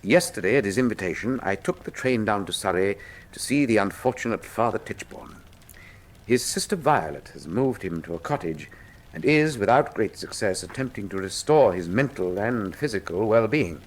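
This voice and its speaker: An actor playing an urbane, self-assured British detective in a radio drama, suave, theatrical and dryly ironic. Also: male voice, lowish voice, expressive, moderate pace, close to an everyday voice. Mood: measured, confiding.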